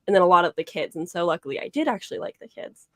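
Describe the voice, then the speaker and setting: A woman's voice: on the low side, animated, very fast, no room sound. A woman giving her opinions casually as a book club guest on a video call. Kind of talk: casual book discussion chat. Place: indoor room with bookshelves, video call.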